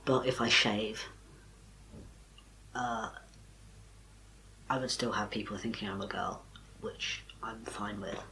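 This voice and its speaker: A young man, talking casually to camera in a soft, quiet, breathy voice with little power behind it. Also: on the low side, steady tone, takes it slowly, pauses often, a little hiss or hum, rough recording. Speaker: vlogger.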